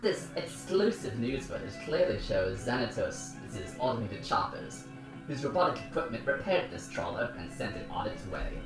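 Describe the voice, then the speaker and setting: A reader voicing a male TV news reporter in a measured news-report delivery. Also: mid-range voice, sing-song, roomy sound, music in the background, lightly acted, poor audio. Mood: formal.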